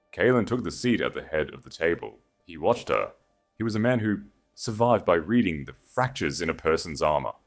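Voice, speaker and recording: Very deep male story narrator, music behind. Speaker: audiobook narrator. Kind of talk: scripted dramatic fiction narration.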